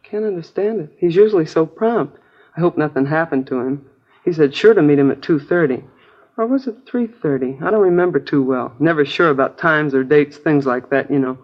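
A woman's voice: sits low, brisk. Chatty, naive American woman. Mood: puzzled, open. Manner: casual, rambling, slightly vague. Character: chatty, naive, easygoing working-class girlfriend of a crook. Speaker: radio drama actor. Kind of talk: 1950s radio crime drama acting. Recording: dull old radio broadcast recording.

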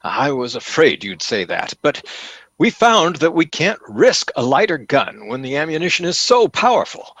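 An actor playing a fawning, pompous store salesman in an old-time radio comedy, with effusive, theatrical flattery. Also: fairly high pitch, normal speed, no echo, full character voice. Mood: grand sales pitch.

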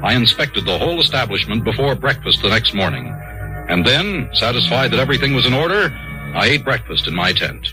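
An actor playing a stern, by-the-book army colonel with a clipped, formal delivery in a 1950s radio drama. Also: male voice, mid-range voice, very animated, a little fast, natural voice, poor audio. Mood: dry, measured. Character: stern, by-the-book male army colonel narrating his account. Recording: old radio broadcast with music behind.

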